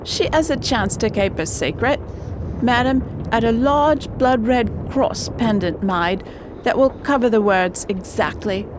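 A woman's voice: a little high, measured pace, background noise, close to an everyday voice. A woman playing a grave informant in a radio drama, recounting a villain's scheme. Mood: explaining.

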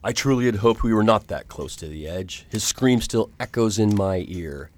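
Man as an earnest, dramatic gentleman adventurer. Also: on the low side, animated, normal speed, close to an everyday voice. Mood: grave, suspenseful. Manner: grave, dramatic, formal. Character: earnest, determined gentleman hero recounting his perilous adventure. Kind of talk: radio drama narration and acting.

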